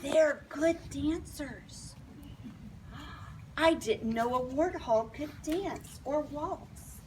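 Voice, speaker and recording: A woman's voice: sits high, very slow, a little mellow, poor audio. A woman reading a storybook aloud to young children, expressively and in simple phrasing, with asides. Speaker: storybook reader. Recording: clear background noise.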